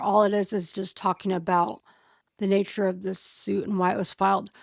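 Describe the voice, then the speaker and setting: Hoarse, gravelly-voiced woman reading a lawsuit. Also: medium pitch, no echo. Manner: halting, thinks aloud between readings. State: hoarse, losing her voice. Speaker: true-crime commentator. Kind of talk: reading a court filing aloud with asides.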